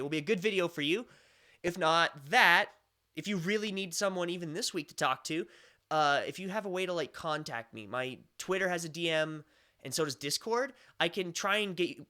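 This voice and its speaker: Chatty young American stream host at home, bright, slightly higher voice, rambling delivery. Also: male voice. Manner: rambling, chatty, with many fillers and restarts. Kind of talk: casual chat.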